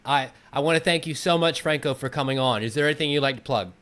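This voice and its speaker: A man with a medium-pitched voice hosts a casual interview show. He speaks conversationally, putting questions and show remarks to the listener from a bedroom. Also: fast, a bit bright. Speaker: talk show host.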